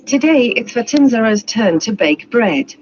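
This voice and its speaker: Female documentary narrator, animated intonation, scripted read, dull playback with other talk over it. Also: a little high, unhurried, slight background noise.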